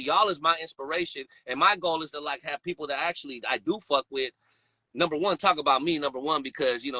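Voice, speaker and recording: A man's voice: on the high side, bright, forceful tone, no echo, rough recording. A man hosting a casual podcast chat, talking in loose slang, rambling and laughing often. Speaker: podcast host.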